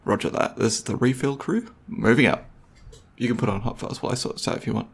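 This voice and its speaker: Husky, creaky young boyfriend, played by a man. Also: medium pitch, fast talker. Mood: playful, easygoing. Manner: hesitant, soft-spoken, conversational, with mumbled asides. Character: gentle, nerdy, considerate young boyfriend on movie date. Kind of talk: intimate ASMR boyfriend roleplay.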